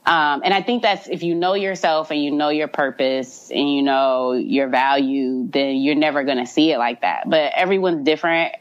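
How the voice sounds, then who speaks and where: Twenties woman, low voice, self-assured casual interview guest, muffled podcast sound. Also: fairly even intonation, normal speed, hardly any pauses. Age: 20s. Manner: confident, laughs often, repeats fillers like "you know".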